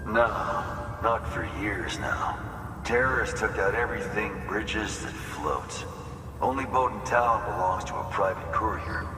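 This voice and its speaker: A man's voice: on the low side, animated, measured pace, over music. An actor playing a folksy, plainspoken and helpful outpost man in a video game cutscene. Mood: folksy, businesslike. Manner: folksy, drawling turns of phrase. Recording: echoey hall, distant, noisy ambience.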